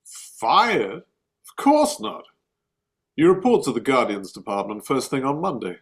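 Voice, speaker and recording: Male, medium pitch, an actor as a benevolent heavenly supervisor, knowing and authoritative, lively intonation for a screenplay read. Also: measured pace, creaky. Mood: wry, reassuring. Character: wry, benevolent male celestial boss.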